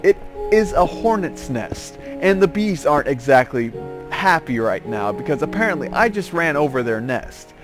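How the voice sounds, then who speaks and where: A man's voice: a little high, very animated. Rambling man telling stories, music behind. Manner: rambling, halting, repeats and restarts phrases. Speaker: host of a gaming series. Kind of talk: casual storytelling over gameplay.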